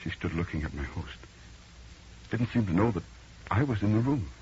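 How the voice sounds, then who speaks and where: A man's voice: sits low, big pitch swings, moderate pace, a little mellow. An actor playing a dazed, uneasy city man telling an eerie tale in old radio drama style, earnest and haunted. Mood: hushed, ominous. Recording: muffled old radio recording, faint noise.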